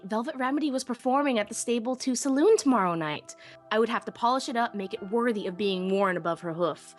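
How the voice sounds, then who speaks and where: A woman playing a shy, self-deprecating young pony technician who narrates her own story in an expressive, wistful, wry way. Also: on the high side, close to an everyday voice. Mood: eager. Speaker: first-person narrator. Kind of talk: dramatic live audiobook reading.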